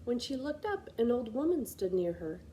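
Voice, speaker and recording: A woman reading a children's fairy tale as a storyteller, with expressive scripted narration. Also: a little high, moderate pace, a little hiss or hum, poor audio. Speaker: library storyteller.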